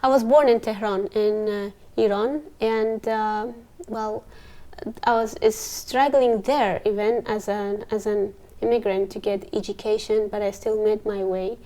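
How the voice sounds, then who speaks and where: A young woman, telling her own story as an interview guest, pausing often with fillers. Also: mid-range voice, steady tone, warm tone. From Afghanistan. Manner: hesitant, many fillers. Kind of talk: personal story in conversation.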